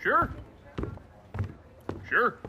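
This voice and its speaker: A student actor playing a belligerent gunslinging bully in an amateur western radio play, with taunting, folksy talk. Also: male voice, very slow, background noise. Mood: flustered. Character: belligerent, goading gunslinging bully picking a fight.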